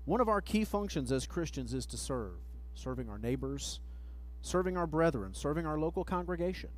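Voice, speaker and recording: A middle-aged man preaching a sermon at a church pulpit mic, reading scripture slowly and letting phrase ends trail off. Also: fairly high pitch, lively intonation, low-quality recording. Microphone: pulpit microphone, far camera.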